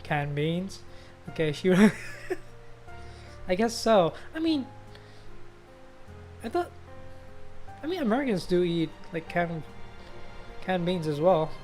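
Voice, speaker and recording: Casual streamer reading game story out loud, swears a bit, playful, medium pitch, light music in back. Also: sing-song, slow pace, pauses often. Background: faint game music.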